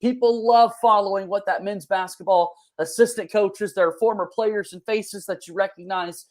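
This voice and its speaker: A man's voice: sits high, steady tone, moderate pace. A man hosting a sports podcast, asking questions and setting up topics in a conversational interview style.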